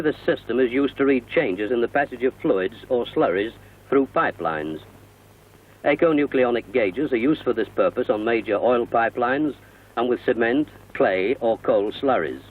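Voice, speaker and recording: A British man narrates in the formal style of a 1960 industrial film, explaining each point in measured phrases. His voice is slightly rough and husky at a middle pitch. The sound is thin and narrow, like an old film soundtrack. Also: lively intonation. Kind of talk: 1960 newsreel scripted narration. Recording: muffled, narrow-band vintage film soundtrack.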